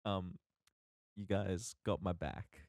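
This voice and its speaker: A man co-hosting a podcast, chatting casually about media in a halting, emphatic, sweary way. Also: deep voice, steady tone, darkish tone, dry recording. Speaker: podcast co-host.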